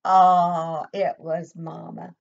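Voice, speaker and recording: A woman's voice: fairly low pitch, little pitch movement, extremely slow, dull audio. An older woman, a preschool teacher, reading a picture book expressively to young children and asking simple questions, recorded indoors at home. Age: older, 60s or above.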